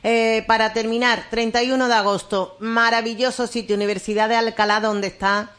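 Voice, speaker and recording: A woman's voice: on the high side, little pitch movement, brisk, fairly bright tone. A woman radio host asking friendly, rambling questions in an interview.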